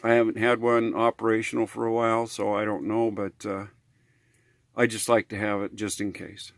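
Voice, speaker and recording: A man's voice: on the low side, level tone, normal speed, creaky, dry recording, studio quality. An American man talking casually in a how-to vlog, in a rambling, hesitant, folksy way. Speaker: RV living vlogger.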